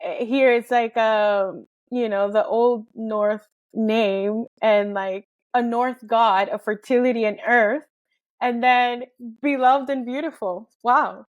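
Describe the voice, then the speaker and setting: A woman with a fairly high voice hosts a relaxed interview. She asks curious questions and lets them ramble into follow-ups. Her tone stays conversational throughout. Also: slow pace, dull audio. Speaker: podcast host.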